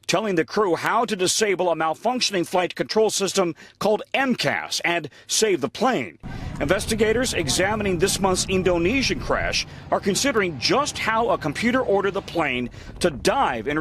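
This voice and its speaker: A man's voice: high voice, lively intonation, normal speed, fairly bright tone, a little hiss or hum. An American man working as a news correspondent, giving an emphatic, dramatic broadcast report.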